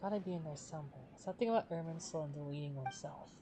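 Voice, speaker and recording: Low-voiced, fast-talking woman streamer. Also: noisy background. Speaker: game streamer. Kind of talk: reading game dialogue aloud on stream.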